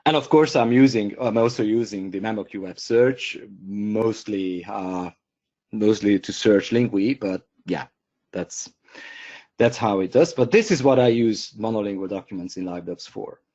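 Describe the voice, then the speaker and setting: A man whose first language is Hungarian presents a software webinar, pausing often with fillers and restarting sentences. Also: fairly low pitch, lo-fi sound. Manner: hesitant, many fillers and restarts.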